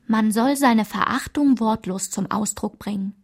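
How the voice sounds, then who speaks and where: An actress narrating rules and village routines in a radio play, in a clipped, matter-of-fact literary recitation. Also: female voice, mid-range voice, unhurried.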